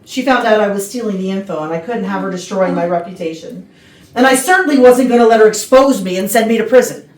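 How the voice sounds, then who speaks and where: A woman's voice: mid-range voice, fast, a little mellow, natural voice. A woman playing a contemptuous, sarcastic, ambitious office villain in a murder mystery comedy reading. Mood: bitter. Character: scornful ambitious female office worker exposed as poisoner. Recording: echoey room, far from mic.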